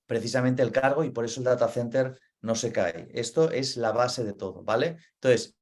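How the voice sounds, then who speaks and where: A man's voice: medium pitch, a little mellow. A man from Spain with a Spain Spanish accent, explaining data center operations in a webinar and repeating his key points. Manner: earnest, repeats key points, frequent "vale?" check-ins.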